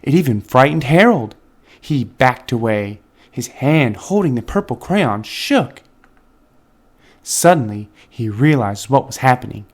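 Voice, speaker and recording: A man reading a picture book aloud to children with expressive, dramatic phrasing. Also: medium pitch, a little slow. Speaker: children's book reader and channel host. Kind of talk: expressive storybook read-aloud.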